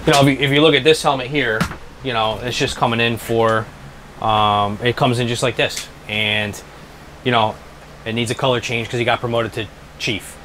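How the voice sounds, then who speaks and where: A man in his thirties giving a casual workshop explanation as a business owner, haltingly and repeating his phrases. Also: mid-range voice, steady tone, pushed and bright, slight background noise, low-quality recording. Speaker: helmet restoration business owner. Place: small workshop, indoors.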